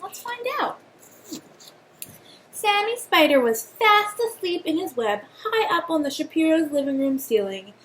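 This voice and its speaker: A woman reading a children's storybook aloud like a teacher, expressive and pausing to put questions to young listeners. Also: extremely high pitch, slight background noise, low-quality recording.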